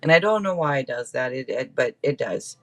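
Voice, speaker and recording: A man with a mid-pitched voice gives a casual live tarot reading. He talks in a chatty, rambling way and often breaks off for playful asides to the people watching. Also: expressive, a little fast, a bit bright. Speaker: tarot reader and livestream host.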